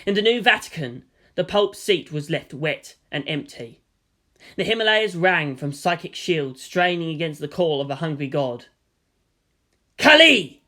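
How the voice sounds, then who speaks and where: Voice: medium pitch, normal speed, a bit bright. A narrator reading fan fiction aloud as scripted drama, with a theatrical, solemn delivery. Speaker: story narrator.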